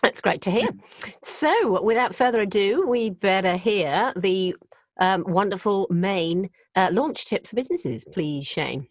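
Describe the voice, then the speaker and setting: A woman's voice: mid-range voice, lively intonation, measured pace, no echo, clean studio sound. A woman co-hosting a podcast in a casual, giggly way, encouraging the guest to talk. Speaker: podcast co-host.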